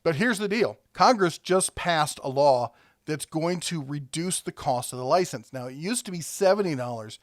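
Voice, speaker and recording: A man's voice: a little high, animated, moderate pace, no room sound, studio quality. A man hosting a casual product review, talking conversationally and thinking aloud as he rambles along.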